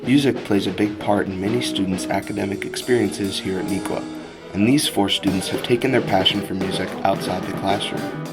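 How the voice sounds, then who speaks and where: A man student reporter narrating a scripted music feature in a measured way. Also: fairly low pitch, fairly even intonation. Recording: loud guitar music behind voice.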